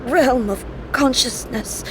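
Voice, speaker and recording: A woman playing a solemn, weary, resolute ruler who speaks formally and haltingly in a video game drama. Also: high voice, background noise. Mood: solemn resolve.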